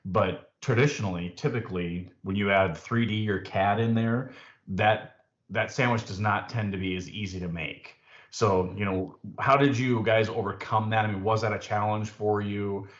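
A man hosting a casual interview, asking relaxed, conversational questions. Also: lowish voice, moderate pace, reverberant, muffled recording. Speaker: podcast host.

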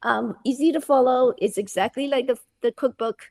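A middle-aged woman from Malaysia speaks as an interview guest, animated and full of restarts and "you know." Her voice has a gravelly edge. The sound is dry and close. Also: on the high side, normal speed, no room sound. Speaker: guest, food subscription founder. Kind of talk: conversational interview.

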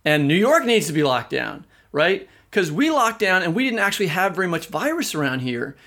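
A man's voice: high voice, sing-song, a little fast, pushed and bright. An American man co-hosting a podcast, discussing things casually in an informal, opinionated way. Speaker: podcast co-host.

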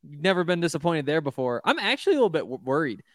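A man's voice: a little high, sing-song, racing, no echo, clean studio sound. A man from Montana co-hosting a casual sports betting chat over a video call, wry and self-deprecating as he rambles about his teams. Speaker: podcast co-host.

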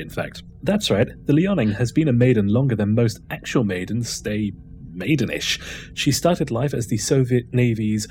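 A man's voice: on the low side, darkish tone. A man co-hosting a podcast, reading a scripted news segment with wry, sarcastic asides. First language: not Chinese. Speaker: podcast co-host.

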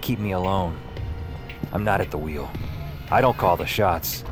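A man's voice: deep voice, measured pace. An actor playing an anguished, self-deprecating hero who narrates his nightmare in short, brooding phrases in an audio drama. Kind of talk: first-person noir-like audio drama narration. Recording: loud music bed under voice.

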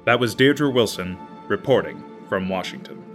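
Man playing a deadpan announcer over loud music. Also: lowish voice, moderate pace, lightly acted. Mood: straight-faced. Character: male public radio announcer reading credits, straight-faced. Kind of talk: scripted announcer narration.

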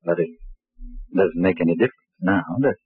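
An actor playing a resentful, defiant lover, blunt and insistent, in an old radio melodrama. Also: male voice, deep voice, brisk, slightly rough, dry recording, soft background music. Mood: bitter, blunt. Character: bitter, defiant male lover, resentful of rich cousin. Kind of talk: 1940s radio melodrama acting. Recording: dry, tinny old radio recording.

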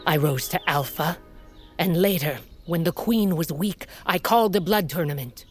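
An actress playing a proud, hardened older queen and mother of a warrior tribe, speaking gravely and with command in an audio drama. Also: on the low side, moderate pace, faint music behind, natural voice. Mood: grim, boastful. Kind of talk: audio fiction drama acting.

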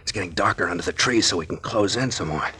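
An actor playing a calm, commanding male captain and detective in a 1940s radio drama. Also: low-pitched, quick pace, slightly rough, exaggerated voice.

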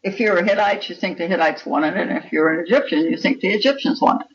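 A woman's voice: lowish voice, quick pace. A woman speaking as a guest author in a reflective interview, emphatic and opinionated in the way she talks. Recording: dull lo-fi call sound.

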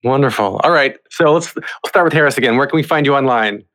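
A man's voice: mid-range voice, quick pace, a bit bright. A man with a mid-range voice works as a podcast host. He speaks conversationally, guiding guests through questions and handing the floor from one to the next. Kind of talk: conversational interview hosting.

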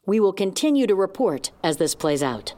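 A woman's voice: mid-range voice, big pitch swings, moderate pace, heavy background noise, lightly acted. News anchor, acted by a woman: mid-range voice, sober and controlled, reads bulletins for a radio drama. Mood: grave, measured. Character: composed, serious female news anchor. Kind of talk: scripted news report in audio drama.

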